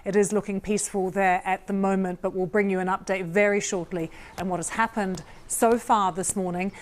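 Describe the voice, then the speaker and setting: A woman presenting live television news with a brisk, clear newsreader delivery. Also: mid-range voice, fairly even intonation.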